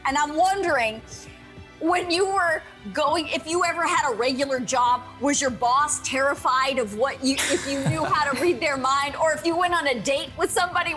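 A middle-aged woman hosting an interview over a video call from home, bubbly and excitable. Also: high voice. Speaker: show host.

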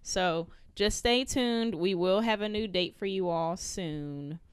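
A woman's voice: mid-range voice, slow pace, clear, resonant tone, no echo. A woman chatting casually on a podcast panel, slangy and teasing, on a close mic. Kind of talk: casual group chat. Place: small room at home, indoors.

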